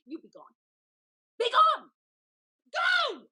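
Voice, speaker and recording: A woman's voice: very high voice, huge pitch swings, slow, bright, forceful tone, heavily acted. A woman playing a vain, tyrannical older aristocratic widow in a Restoration comedy, gushing, exclamatory and overwrought. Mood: scornful fury. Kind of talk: theatrical Restoration comedy reading.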